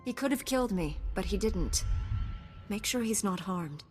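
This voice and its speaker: A woman's voice: medium pitch, animated, normal speed, long reverb tail, faint music behind, lightly acted. An actress playing a principled, composed woman knight in a video game, speaking earnestly. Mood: resolute.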